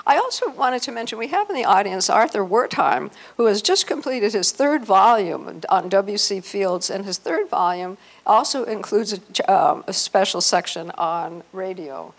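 Creaky-voiced older woman presenting. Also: medium pitch, expressive, moderate pace, few pauses. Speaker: event presenter. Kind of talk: informal talk to an audience. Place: hotel meeting room, indoors. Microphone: handheld microphone.

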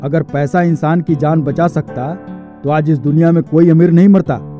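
A man voicing a grave, philosophical hospital doctor in a cartoon, speaking in a measured way. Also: fairly high pitch, fairly even intonation, lo-fi sound, over music, close to an everyday voice. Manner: solemn, measured.

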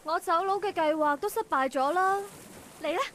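A woman's voice: extremely high pitch, normal speed, fairly bright tone, a little hiss or hum. A woman voicing a cocky, teasing young lady thief in a visual novel, playful and theatrical, with a Hong Kong Cantonese accent. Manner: playful, theatrical, mock-villainous. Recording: game voice track over music.

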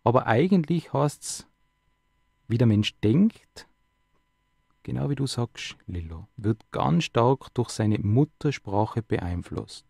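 Mellow-voiced radio host, man. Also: mid-range voice, vocal fry, husky, no room sound, clean studio sound. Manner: conversational, asks many questions, tag words. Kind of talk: radio interview.

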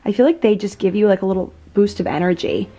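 A woman's voice: mid-range voice, quick pace, dark tone, dull audio. A young adult woman talking casually and informally to her vlog audience in slangy everyday speech. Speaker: lifestyle vlogger. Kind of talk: casual chatty vlog talk. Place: indoors at home and in a house.

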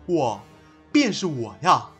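一位男演员在广播喜剧中饰演夸张做作、慷慨激昂的年轻诗人老师。. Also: male voice, on the high side, big pitch swings, slow, faint music behind, full character voice. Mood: frenzied declaiming. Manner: declamatory, swings into shouting recitation. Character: theatrical, overwrought poet teacher, melodramatic young man. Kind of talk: Chinese audio comedy drama acting.

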